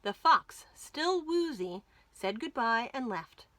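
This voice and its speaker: A woman's voice: on the high side, big pitch swings, slow pace, fairly bright tone, dry recording. A woman narrating a children's story expressively as a storytime host.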